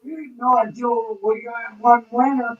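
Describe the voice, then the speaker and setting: Young man with a high voice. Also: fairly even intonation, measured pace, no room sound, phone-quality sound. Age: 20s. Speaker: chat participant. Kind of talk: casual chat.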